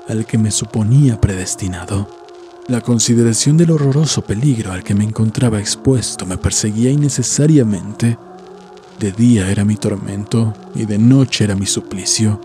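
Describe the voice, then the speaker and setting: A man reading a scripted horror story as an audiobook narrator, in a measured, solemn, literary manner. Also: medium pitch, warm tone. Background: background music.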